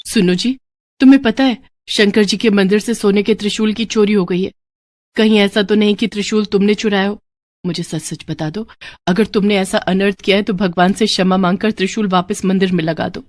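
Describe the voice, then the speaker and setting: A woman's voice: medium pitch, steady tone, fairly quick, dry recording, close to an everyday voice. A woman voicing an anxious, pious village wife, pleading and reproachful, in a moral-story cartoon. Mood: worried, reproachful.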